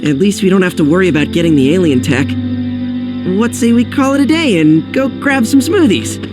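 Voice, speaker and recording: An impulsive, self-assured teenage boy hero voiced by a woman, with very animated intonation. The delivery is bold game acting over loud music. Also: medium pitch, fairly quick, natural voice. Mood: resolute.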